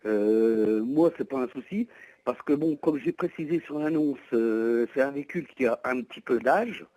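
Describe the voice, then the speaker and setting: A man's voice: mid-range voice, moderate pace, warm tone, no echo, rough recording. A man explaining as a private seller on the phone, hesitant, wordy and polite. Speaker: private seller of a used van. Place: at home, on the phone. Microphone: telephone.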